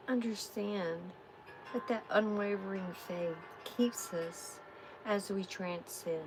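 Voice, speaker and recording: A woman hosting a live tarot reading, giving spiritual guidance in a soft, soothing way. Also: mid-range voice, noisy background. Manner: soft, unhurried, soothing affirmations.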